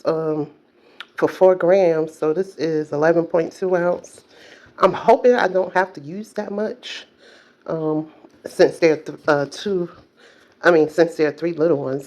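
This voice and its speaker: A woman's voice: fairly low pitch, darkish tone. An older woman vlogging casually at home, chatty, with many fillers and self-corrections. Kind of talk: casual chat to camera.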